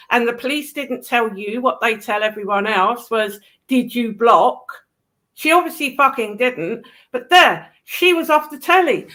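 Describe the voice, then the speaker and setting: Blunt British woman, unhurried and opinionated. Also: a little high, a bit bright. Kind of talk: casual chat, opinionated commentary.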